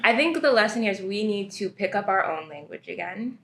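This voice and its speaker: A woman co-hosting a podcast, relaxed and chiming in with short agreements. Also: medium pitch, brisk, vocal fry, slight background noise. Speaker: podcast co-host. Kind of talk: casual chat. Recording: echoey room.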